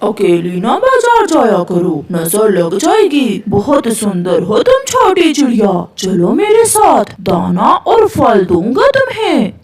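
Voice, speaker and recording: Woman putting on a sly, leering owl, slow-talking. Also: fairly high pitch, huge pitch swings, darkish tone, recorded from a distance, exaggerated voice. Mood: leering, wheedling. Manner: wheedling, teasing. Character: wicked male owl, leering, sly pest. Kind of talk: children's cartoon acting.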